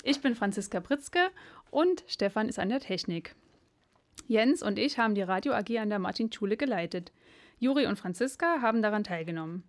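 A woman leading a school radio club, co-hosting the show and reading a prepared script in a clear, even way. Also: medium pitch.

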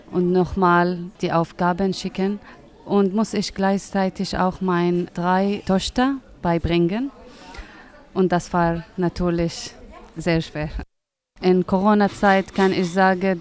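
A woman in her thirties from Syria, answering interview questions in simple, halting sentences with many pauses to find words. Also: fairly low pitch, a little mellow. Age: 30s. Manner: hesitant, searching for words, simple grammar. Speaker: interviewee, English teacher.

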